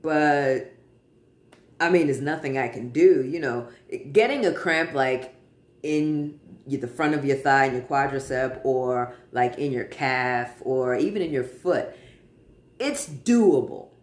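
A man in his forties chatting casually to camera from a living room, relaxed, with many pauses and fillers. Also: mid-range voice. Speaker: vlogger. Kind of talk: casual personal update to camera. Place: living room in front of a sofa, indoors. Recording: roomy, echoey home room.

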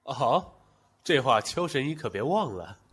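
An actor playing an imperious, mocking sect master in a Chinese period audio drama. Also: male voice, mid-range voice, big pitch swings, measured pace, heavy background noise. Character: haughty, sardonic male sect master of ancient China.

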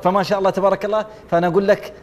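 A man's voice: sits high, level tone, racing, big-room sound. A man on a TV talent show panel, enthusiastically listing points and praising a contestant, on a lapel mic in a studio. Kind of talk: evaluating a contestant on live TV. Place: TV studio set.

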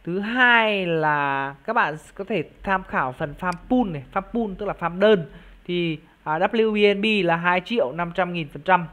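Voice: mid-range voice, a little slow, a bit bright. A video host giving an informal screen-share walkthrough, explaining things directly to viewers. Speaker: YouTube crypto project reviewer and channel host.

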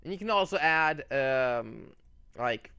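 A man with a bright, forceful voice talks casually through a channel update on a desktop mic. He rambles, with many fillers and restarts. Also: mid-range voice, lively intonation, slow, gravelly, clear, resonant tone. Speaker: channel host.

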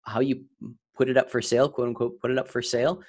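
A man hosting a podcast interview casually, with a halting delivery that often repeats words. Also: mid-range voice, level tone, darkish tone.

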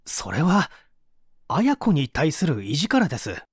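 A man's voice: a little high, wildly swooping pitch, a little slow, slightly rough, dry recording, studio quality, full character voice. An actor playing a bitter, defensive painter in his thirties under interrogation, aggrieved and self-justifying, in a radio drama. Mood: guarded. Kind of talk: dramatized mystery reading.

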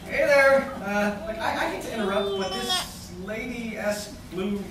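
An actor playing a flustered, quippy teenage superhero with a stammering delivery in a live radio play. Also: male voice, very high voice, big pitch swings, a little slow, heavily acted. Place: large hotel conference room with seated audience. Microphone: distant audience camera. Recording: echoey noisy room, recorded from far off.

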